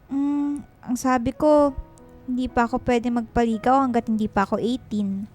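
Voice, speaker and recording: A woman's voice: a little high, fairly even intonation, normal speed, darkish tone, soft background music, close to an everyday voice. A woman playing a cheerful, bashful sixteen-year-old little sister in a radio drama.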